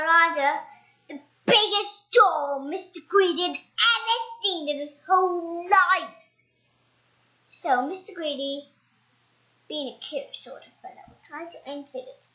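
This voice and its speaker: High-pitched child reading a story haltingly. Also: bright, forceful tone. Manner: halting, stumbling reading with self-corrections. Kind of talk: read-aloud storytelling of a picture book. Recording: roomy echo, dull lo-fi sound.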